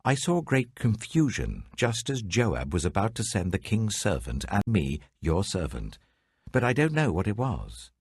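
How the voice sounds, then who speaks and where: A man's voice: fairly low pitch, wildly swooping pitch, unhurried, husky, dry recording, clean studio sound, voice pushed up, exaggerated voice. A man voicing an eager young messenger who pleads and exclaims in a scripture reading. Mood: breathless excitement. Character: eager young male messenger bearing news to a king.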